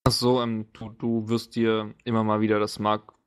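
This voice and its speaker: A man chatting casually as game master of a tabletop role-playing game, talking in slangy, informal, halting phrases. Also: on the low side, completely flat delivery, clear, resonant tone.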